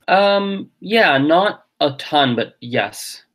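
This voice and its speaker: Relaxed young man, chatty guest. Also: a little high, extremely slow. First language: Gujarati. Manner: relaxed, thinking aloud with many fillers. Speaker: guest language teacher and podcaster. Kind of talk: casual interview chat.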